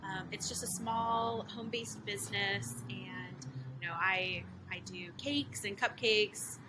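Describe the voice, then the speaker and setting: A woman's voice: medium pitch, slow. A young woman from California, a home baker, talking casually with lots of "you know" and "like". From Costa Mesa, California. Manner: relaxed, many fillers like "you know" and "like". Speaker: small business owner, baker. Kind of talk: casual interview guest. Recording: noisy background.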